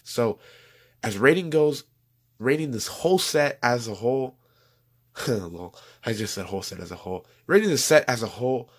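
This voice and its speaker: A young man reviewing toys at home in a rambling, chatty way, his voice going hoarse. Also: medium pitch, expressive, normal speed. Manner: rambling, chatty, self-correcting. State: losing his voice. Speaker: toy reviewer. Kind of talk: casual toy review voice-over.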